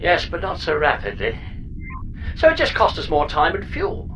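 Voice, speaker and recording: A woman plays a spaceship's pod computer in a comedy audio drama, with a low female voice that swings wildly up and down. It is chatty and flippant, breezily grand about its own recklessness. There is clear noise behind the voice. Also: wildly swooping pitch, moderate pace, fairly bright tone. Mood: breezy, wistful. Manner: mock-grand, breezy, theatrical.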